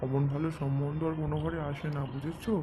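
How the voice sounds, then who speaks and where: A man's voice: fairly high pitch, level tone, fast, lightly acted. A man voicing a doting, insistent father in an amateur cartoon dub, speaking. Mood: pleased, earnest. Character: doting father of a village girl, quick to scold his wife. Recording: noisy amateur home recording.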